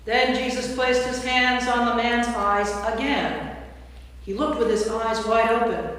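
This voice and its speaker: A woman's voice: on the high side, fairly even intonation, a little slow, a bit bright. A woman giving scripted narration for a staged scripture reading in a church. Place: inside a large church. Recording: distant, very echoey church hall.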